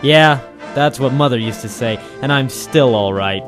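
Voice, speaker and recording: An actor playing a cynical, wisecracking waterfront operator in a 1940s radio noir drama, deadpan and terse. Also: male voice, mid-range voice, normal speed, music in the background, lightly acted. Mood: sardonic, tough. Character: cynical hard-boiled waterfront private operator, male, wisecracking. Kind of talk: 1940s radio noir first-person narration and dialogue. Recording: 1940s radio broadcast recording.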